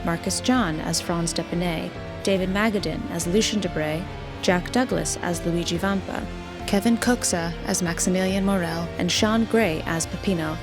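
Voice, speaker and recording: A woman's voice: fairly low pitch, normal speed. A woman reading scripted credits as an announcer for an audio production. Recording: music behind the voice. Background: guitar music.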